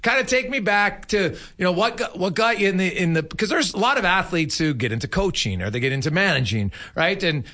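A man's voice: sits high, animated, fairly quick, hardly any pauses, pushed and bright. A man hosting a casual sports interview, asking rambling, conversational questions. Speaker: sports show host, interviewer.

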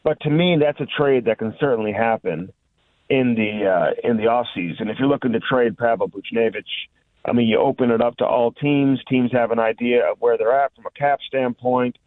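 A man's voice: mid-range voice, normal speed, no echo, narrow, tinny audio. A man giving conversational, off-the-cuff sports analysis as a reporter guesting on a radio show. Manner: conversational, frequent "you know" and "listen".

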